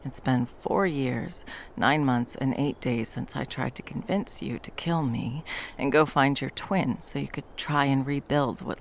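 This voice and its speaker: A woman's voice: very deep voice, gravelly, a little hiss or hum, full character voice. A woman voicing a centuries-old female monster hunter in an ASMR roleplay. She talks bluntly and swears a lot, then drops into tender murmurs.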